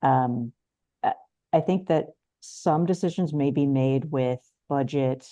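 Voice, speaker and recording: Mellow female voice, medium pitch, appreciative, thoughtful, halting, casual call remarks, very dry sound. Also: no room sound. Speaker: screenwriter receiving feedback. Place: video call.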